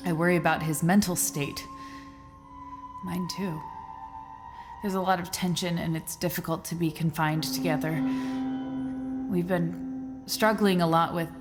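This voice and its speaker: A woman's voice: on the low side, fairly even intonation, a little slow, long pauses, music in the background, close to an everyday voice. An actress playing a trapped, despairing woman scientist on a space mission, weary and bitter, in an audio drama. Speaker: actor in a musical audio drama.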